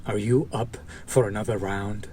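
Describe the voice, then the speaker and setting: A man playing a fallen hero turned villain, an idealistic, bitter and protective former partner, speaking earnestly with dramatic pauses in an audio roleplay. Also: lowish voice, fairly even intonation, mellow, slight background noise, lightly acted. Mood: determined, persuasive. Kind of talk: dramatic audio roleplay monologue addressed to listener.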